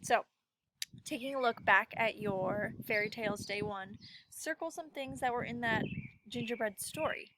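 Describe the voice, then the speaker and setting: A woman's voice: a little high, normal speed, noisy background. A woman teacher giving a casual lesson to children, thinking aloud as she demonstrates.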